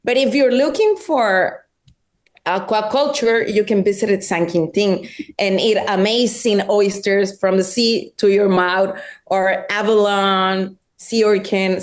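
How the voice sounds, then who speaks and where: A woman presenting her region on a travel panel, enthusiastic, with halting, non-native phrasing. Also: medium pitch, steady tone. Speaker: destination representative.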